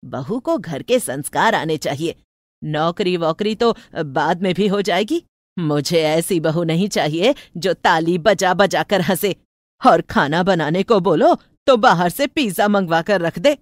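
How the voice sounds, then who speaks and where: A woman voicing an older, traditional village mother in an animated moral story, opinionated yet loving, with a firm, homely manner. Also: lowish voice, unhurried, no echo. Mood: disapproving.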